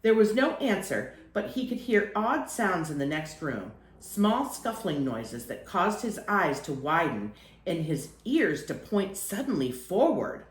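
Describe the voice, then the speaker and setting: A woman reads a picture book aloud for young children. Her delivery is expressive and animated, with plenty of rise and fall in pitch. The recording has a noticeable room echo around her voice. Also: unhurried.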